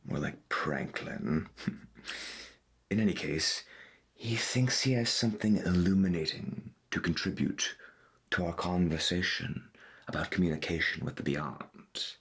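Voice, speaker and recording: Man playing a hushed, deep-voiced late-night radio host. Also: heavily acted. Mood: wryly patient. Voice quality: breathy, husky. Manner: slow, deliberate, folksy, half-whispered. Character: folksy, gentle veteran late-night pirate radio host. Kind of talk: soft-spoken ASMR roleplay as 1990s AM radio.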